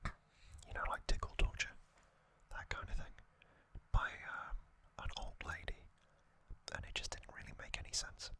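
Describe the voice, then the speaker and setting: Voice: a little slow, pushed and bright. The kind of voice on a late-night ASMR channel for falling asleep, whispering a story unhurriedly with little hesitant asides. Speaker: ASMR host.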